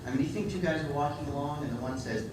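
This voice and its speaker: A man's voice: a little high, rapid-fire. A middle-aged man giving a humorous conference talk, self-deprecating and full of ums. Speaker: conference speaker. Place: large hall with audience. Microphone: handheld microphone. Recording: echoey hall, distant mic, background noise.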